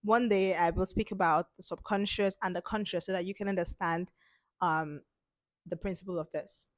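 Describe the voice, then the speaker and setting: A woman hosting an informal instructional talk as a confidence coach, speaking emphatically with repeated points and a slightly hoarse voice from a cold. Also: on the low side, fairly even intonation, moderate pace, no room sound.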